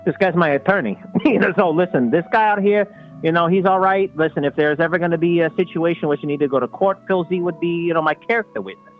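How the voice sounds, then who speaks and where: A man's voice: fairly high pitch, quick pace, soft background music, natural voice. A man voicing a brash, menacing Sicilian mobster on a call-in line, talking tough and saying "listen" a lot. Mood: boastful. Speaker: caller. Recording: muffled phone-line call.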